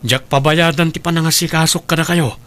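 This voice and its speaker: A man's voice: fairly high pitch, steady tone, brisk, bright, forceful tone. A man playing a devoted, respectful younger caregiver in a radio drama, with an Ilocano Filipino accent. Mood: earnest, reassuring.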